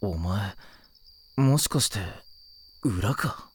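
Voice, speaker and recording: A woman's voice: a bass voice, expressive, very slow, slightly rough, full character voice. A woman playing a kind, easily flustered teenage boy in an anime audio drama, with casual boyish speech.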